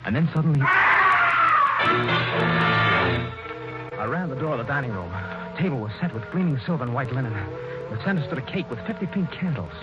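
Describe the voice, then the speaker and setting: An eager young engineer, earnest, stumbling when flustered; lowish, expressive; dull old radio with music bed. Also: male voice, normal speed, pauses often. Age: young adult. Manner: stammering and earnest, rising to anger. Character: young ambitious male mining engineer narrating, bitter. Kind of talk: 1940s radio acting and narration.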